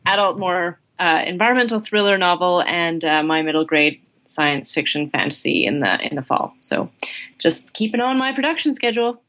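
Female guest author, lower pitch, wry and easygoing. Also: fairly bright tone, poor audio. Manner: laughs easily, wry. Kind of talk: casual interview chat.

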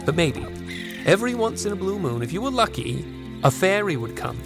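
Folksy, haunted storyteller over music. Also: male voice, sits high, very animated. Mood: wistful. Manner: suspenseful, deliberate storyteller with folksy turns of phrase. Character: folksy old storytelling grandfather, haunted and reverent about fairies. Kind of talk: dramatic first-person narration on a recorded tape.

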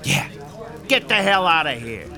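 An actor playing a gruff 1930s Chicago mob boss with a Chicago accent, swearing and barking orders. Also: male voice, on the high side, very animated, a little slow, pushed and bright, exaggerated voice. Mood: irritated. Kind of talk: audio drama acting. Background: music score.

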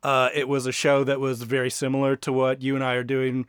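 A man's voice: mid-range voice, monotone, brisk, clean tone, dry recording, clean studio sound. A man co-hosting a podcast, giving a prepared but conversational introduction. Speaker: podcast co-host and interviewer.

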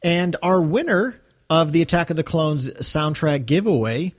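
A man's voice: a little high, expressive, unhurried. A man co-hosting a fan podcast, talking casually and chattily with rambling asides. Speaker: podcast co-host.

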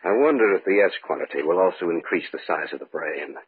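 Uneasy scientist, deep register, solemn old-time radio horror delivery, dulled vintage recording. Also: male voice, expressive, quick pace, breathy edge, phone-quality sound, full character voice. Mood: grave, measured. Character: earnest uneasy male scientist recounting events in a journal.

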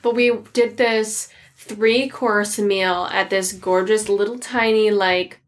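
A woman's voice: mid-range voice, fairly even intonation, slow. A woman hosting a podcast, gushing about a meal in casual chat, with lots of "like". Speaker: podcast host.

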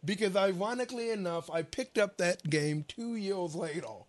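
Thoughtful man, slightly high voice. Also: animated, normal speed. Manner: emphatic and thoughtful, blunt wording. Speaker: documentary maker and interviewer. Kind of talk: reflective conversation.

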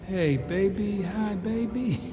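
Slow, high-pitched grandfather in long reverb. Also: noisy background. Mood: soothing. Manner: soothing, cooing to a small child. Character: grandfather tenderly minding his baby granddaughter. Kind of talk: audio drama acting. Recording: long reverb, music behind.

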